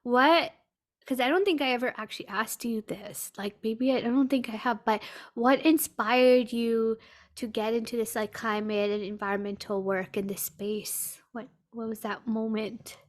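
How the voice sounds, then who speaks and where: A woman's voice: fairly high pitch, steady tone, normal speed. A woman hosting a podcast, chatting casually and informally in a supportive interview style. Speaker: podcast host.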